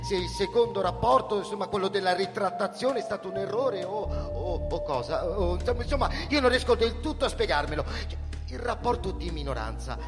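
Flustered high-voiced official, echoing hall. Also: male voice, lively intonation, brisk. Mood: agitated, confused. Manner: hesitant, stammering, agitated. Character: flustered, anxious male official hounding a colleague for answers. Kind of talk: dramatic radio play acting. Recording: long hall reverb, music underneath. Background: music.

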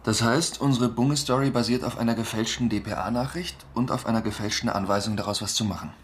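An actor playing a patient, sober journalist with a husky voice, insistent and matter-of-fact, in a radio drama. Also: male voice, medium pitch, fairly even intonation, brisk, slight background noise, natural voice. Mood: sober, grave. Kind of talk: German radio drama acting.

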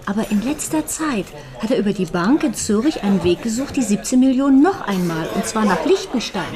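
An actress playing an anxious, questioning widow in a German radio crime drama. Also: female voice, mid-range voice, animated, fairly quick, crowd noise, lightly acted. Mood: wary, reasoning. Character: threatened widow Ruth, anxious, questioning, piecing things together.

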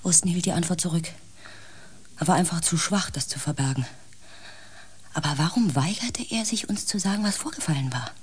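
An actor playing a compassionate narrator and protagonist in a science fiction radio play, gentle and reflective, over faint background noise. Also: male voice, fairly high pitch, animated, a little fast, pauses often, slightly rough. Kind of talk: radio drama narration and dialogue.

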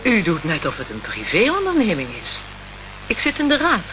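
A woman's voice: mid-range voice, huge pitch swings, normal speed. An actress playing a cool, guarded woman of a political movement in a radio play, formal and evasive. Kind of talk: Dutch radio play acting. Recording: dull, noisy old radio play recording.

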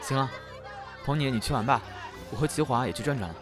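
A man playing a lively, impulsive, easily huffy young man in an audio drama, expressive and blunt. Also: mid-range voice, fast, over music, crowd noise, close to an everyday voice. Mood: cheerful. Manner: expressive, blunt, playful grumbling. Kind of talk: Chinese audio drama acting.

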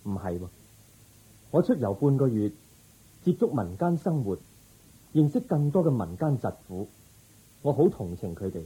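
Young spiritual prince, Cantonese, resolute, measured, very husky, lively pitch, radio drama. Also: male voice, poor audio. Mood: earnest. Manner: earnest, measured, firm. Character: resolute young prince turned spiritual seeker, calm, compassionate.

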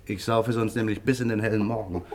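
An actor playing a friendly, impulsive, hard-drinking painter in a German radio drama. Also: male voice, fairly low pitch, fairly even intonation, quick pace, a loud crowd, natural voice. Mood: eager, jovial.

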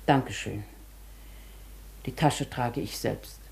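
Low-voiced, creaky, dignified lady. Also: darkish tone, slight background noise, exaggerated voice. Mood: resolute, calm. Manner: measured, formal, composed. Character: dignified, wistful, resigned lady hotel guest. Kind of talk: German radio play acting.

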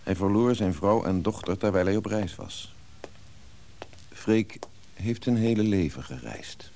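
A man's voice: fairly low pitch, animated, unhurried, dark tone, slight background noise. A man narrating a radio drama serial in a scripted, storytelling style. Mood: measured narration.

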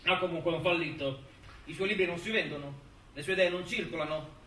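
An actor playing a self-righteous, contemptuous accuser in a courtroom stage play, pompous and blustering. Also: male voice, on the high side, quick pace, bright, forceful tone. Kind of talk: stage play acting, courtroom testimony and harangue. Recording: roomy distant stage recording, faint noise.

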